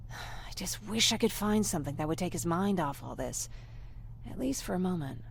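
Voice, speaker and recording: A woman's voice: fairly low pitch, animated, normal speed, creaky, a little hiss or hum. An actress playing a weary young female scavenger surviving a war in a video game, earnest in manner. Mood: grateful, weary. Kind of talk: video game cutscene acting.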